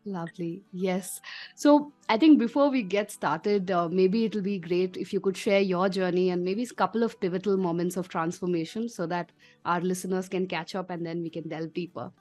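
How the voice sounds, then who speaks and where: A woman's voice: fairly low pitch, moderate pace, a little mellow, faint music behind. A woman hosting a podcast, reading a polished intro and then interviewing a guest. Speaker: podcast host, coach.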